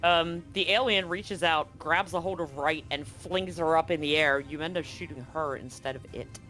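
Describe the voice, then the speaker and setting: Guy game mastering a tabletop rpg, kinda high voice, bit of music in the background. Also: moderate pace, pushed and bright, rough recording. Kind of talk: improvised tabletop game narration and rules talk. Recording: music behind the voice.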